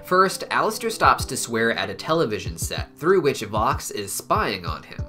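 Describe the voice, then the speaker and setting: A man's voice: mid-range voice, big pitch swings, moderate pace, sharp tone. A man hosting an explainer video, narrating from a script in an explanatory way with conversational asides. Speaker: host of an animation explainer channel. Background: background music.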